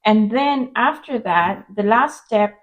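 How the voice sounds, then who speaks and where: A woman's voice: a little high, animated, takes it slowly, muffled recording. A woman from Dubai, a veterinarian, explaining things carefully with many fillers as she answers interview questions. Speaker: veterinarian guest.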